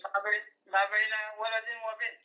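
A man in his sixties from Yemen, calling in by phone, answering haltingly and asking for questions to be repeated. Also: high-pitched, completely flat delivery. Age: 60s. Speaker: caller. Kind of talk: phone call. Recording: muffled phone line with faint noise.

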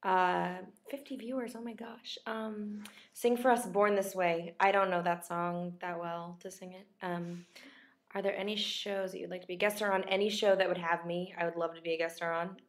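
A woman's voice: on the low side, fairly even intonation, moderate pace. A young woman who is losing her voice, chatting casually on a livestream and reading out viewers' questions in a self-deprecating way. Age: young adult. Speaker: actress chatting with fans on a livestream. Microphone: laptop webcam at close range.

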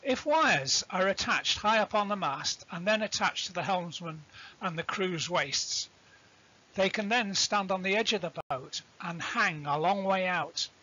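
High-pitched, husky man explaining, lo-fi recording. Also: expressive, a little slow, sharp tone. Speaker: instructor presenting a sailing tutorial. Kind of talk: explanatory lecture narration.

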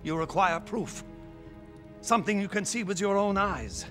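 An actor playing a wise old man, a grave mentor in a video game, speaking formally and with measured weight. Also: high-pitched, a bit bright. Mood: solemn, urgent. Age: older. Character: old male expedition survivor, wise, grave village mentor. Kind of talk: dramatic video game cutscene acting. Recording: clear music under the dialogue.

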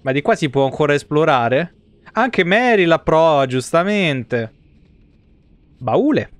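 Male streamer, 30s, smooth close dry sound, informal, joking, free with swearing. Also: a little high, animated, slow pace, dry recording. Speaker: live streamer. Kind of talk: casual gameplay commentary. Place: indoor room, seated at a desk.

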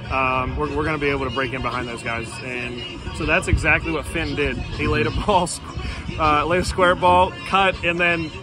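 A man in his thirties, a soccer coach giving casual, measured post-match answers outdoors, repeating words as he gathers his thoughts. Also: on the high side, fairly even intonation, rough recording. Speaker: high school soccer coach. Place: outdoor stadium trackside.

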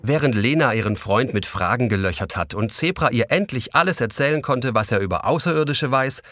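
A man's voice: mid-range voice, lively intonation, a little fast, fairly bright tone, no room sound, clean studio sound. A man narrating a children's story as a scripted audio drama narrator.

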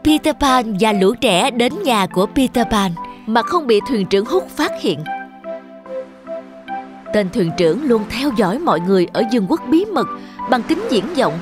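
High-voiced woman telling a fairy tale. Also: sing-song, normal speed. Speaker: narrator. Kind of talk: scripted fairy tale narration for children. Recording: music under the voice. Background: music.

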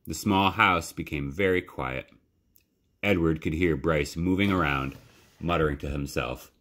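A man with a low, deep voice narrates a children's story. He reads expressively and in a measured way, leaving dramatic pauses between phrases. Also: fairly bright tone. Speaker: library storytime reader.

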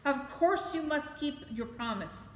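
A stern storybook king played by a woman reader gives commands. The voice is high and sits in an echoey room. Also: animated, a little slow, a little hiss or hum. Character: stern father king in a fairy tale. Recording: echoey room, distant camera.

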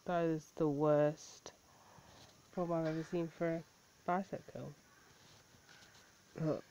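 A woman's voice: low voice, level tone, slow, dark tone. A woman giving blunt, incredulous reaction commentary, swearing and laughing as she talks. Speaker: video reaction host. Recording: dull lo-fi sound with faint noise.

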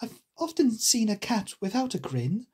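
A woman's voice: on the high side, very animated, moderate pace, a little mellow, husky, voice pushed up. A woman voicing a polite, curious young girl for a storybook, prim, earnest and easily indignant. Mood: musing, puzzled.

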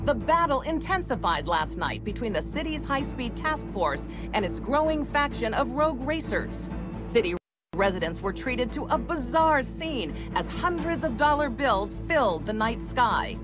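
A woman's voice: fairly high pitch, sing-song, moderate pace. A woman playing a polished television news reporter, reading a scripted report in a video game. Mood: crisp, serious. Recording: news music behind.

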